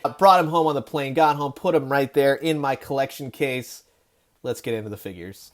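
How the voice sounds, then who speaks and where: A man hosting a casual, enthusiastic toy review, telling it in an animated way with emphatic repeats. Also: on the high side. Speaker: host of a toy collecting review show.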